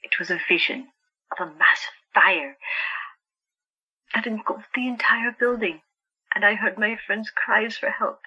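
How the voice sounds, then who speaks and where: A woman's voice: mid-range voice, unhurried, long pauses, pushed and bright, breathy edge, highs cut off, full character voice. A woman playing a stubborn young sister plagued by visions in an audio drama, speaking earnestly and insistently. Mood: desperate.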